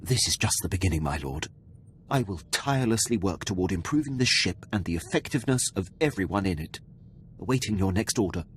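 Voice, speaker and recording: Brief: dutiful sci-fi game officer, lowish voice, exact and earnest, clear ambient noise behind. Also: male voice, lively intonation, fairly quick. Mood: dutiful, devoted. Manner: formal, precise, earnest. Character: formal, devoted male military officer aboard a starship. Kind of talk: scripted video game dialogue.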